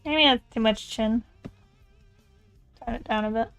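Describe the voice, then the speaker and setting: A woman artist chatting casually while she draws, thinking out loud in a rambling, tentative way. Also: fairly high pitch, measured pace, gravelly, clean tone. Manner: rambling, musing aloud, tentative. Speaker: artist streamer. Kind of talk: casual chat while drawing. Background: music.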